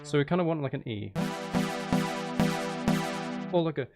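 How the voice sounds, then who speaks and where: Man, casual streamer, creaky voice, muttered repeated phrases, thinking aloud, prominent music behind. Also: on the high side, expressive, moderate pace, muffled recording. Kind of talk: casual live-stream commentary while making music. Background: music being composed, guitar and instruments.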